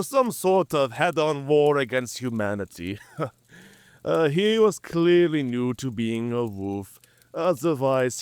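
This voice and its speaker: Cunning, proud werewolf, theatrical and mocking, unhurried drawl, close dry sound, audio drama. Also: male voice, medium pitch, clean tone, dry recording. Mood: mocking amusement. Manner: theatrical, drawling, chuckling delivery. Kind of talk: cinematic audio drama acting.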